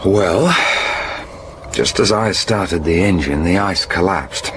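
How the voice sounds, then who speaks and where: A man's voice: deep voice, takes it slowly, background noise. A man acting in a radio drama as an earnest, protective polar ice scientist who explains things methodically. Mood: explaining.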